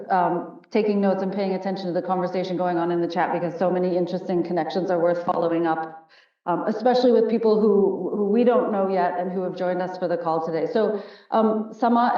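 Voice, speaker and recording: A woman hosting and moderating a panel on a video call, friendly and full of ums and quick asides. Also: mid-range voice. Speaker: webinar host. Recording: roomy, distant, dull video-call audio.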